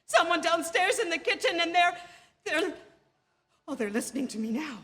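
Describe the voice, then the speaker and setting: A woman's voice: extremely high pitch, big pitch swings, normal speed. An actress playing a terrified, desperate woman in a suspense radio drama, breathless and stammering as she pleads for help. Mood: hushed dread. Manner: breathless, stammering, repeating words in panic. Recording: noisy live theatre stage recording.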